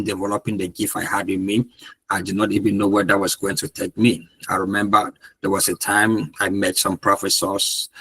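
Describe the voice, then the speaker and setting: A man's voice: fairly low pitch, fairly bright tone, slightly rough, no room sound, rough recording. A man from Cameroon, giving an earnest, repetitive motivational talk over a video call as guest speaker.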